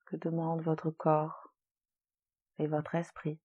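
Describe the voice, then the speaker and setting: A woman leading a guided relaxation meditation in a soothing, gentle, unhurried manner with long pauses. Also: deep voice, monotone, breathy edge, dull audio. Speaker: yoga nidra relaxation guide.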